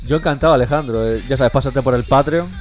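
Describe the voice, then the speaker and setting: A man's voice: mid-range voice, fast talker, soft background music. A man from Spain with an Extremaduran accent, co-hosting a casual podcast chat and rambling with many restarts and fillers. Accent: Spanish, Extremaduran. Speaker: podcast co-host.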